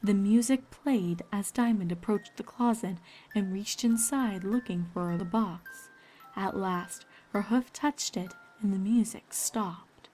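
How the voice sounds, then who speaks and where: A woman's voice: mid-range voice, takes it slowly, warm tone, faint music behind. A woman storyteller reading scripted fiction aloud, with ambient noise behind her.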